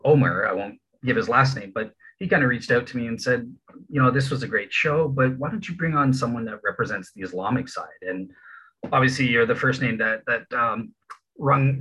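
A man hosting a podcast, introducing his guest in a relaxed, informal way with frequent fillers. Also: mid-range voice, breathy edge, rough recording. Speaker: podcast host. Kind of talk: casual interview intro.

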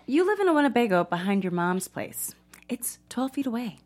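A woman's voice: fairly high pitch, big pitch swings, brisk. A woman playing a sardonic, skeptical partner at a comedy table read. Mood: dry skepticism.

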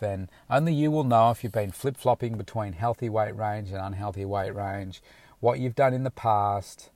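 Male fitness coach, slightly low register, frank, loose and rambling, recorded dry from his car. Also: warm tone, no room sound. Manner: rambling, frank, with many restarts. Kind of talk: casual motivational talk to camera. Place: inside a car.

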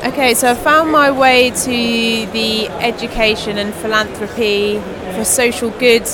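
A woman hosting a podcast, asking brisk, encouraging questions at a conference. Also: on the high side, fairly even intonation, rough recording. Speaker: podcast host, interviewer. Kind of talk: roving interview at a conference. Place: conference hall. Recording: busy crowd at a conference.

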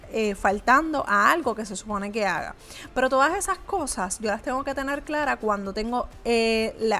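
A woman with a somewhat high voice talks straight to the viewer in a motivational vlog. She sounds earnest and conversational, like a small business owner sharing advice, pausing often on little hesitant fillers. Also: pushed and bright.

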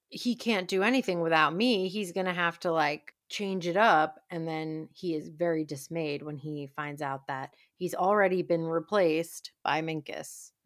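A woman with a slightly low voice recaps an old episode in casual chat. Her talk is wry and loose, full of little fillers. The recording is close and dry with no room sound. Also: moderate pace, no echo. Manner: wry, chatty, many "like" fillers. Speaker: podcast co-host.

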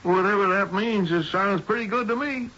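An actor in an old radio drama playing a plain-spoken, agreeable shopkeeper, a little puzzled and hesitant. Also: male voice, high voice, moderate pace, sharp tone, soft background music. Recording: dull, lo-fi vintage radio recording.

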